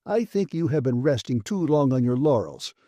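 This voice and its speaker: A man's voice: mid-range voice, very animated, normal speed, mellow, dry recording, studio quality. A man voicing a blustering, self-important male station director in an audiobook, with a pompous, badgering, officious manner. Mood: scornful, threatening. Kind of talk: dramatised audiobook reading.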